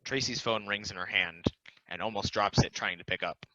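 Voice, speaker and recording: A man's voice: lowish voice, moderate pace, bright, forceful tone, no room sound. A man reading stage directions as the narrator of a table read, on a slightly dull home microphone.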